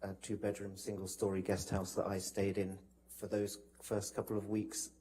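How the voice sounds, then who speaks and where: Male witness, low-pitched and mellow, polite and hesitant, flat intonation, for courtroom testimony. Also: moderate pace. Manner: polite, hesitant, broken phrasing. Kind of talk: courtroom testimony, recounting from memory.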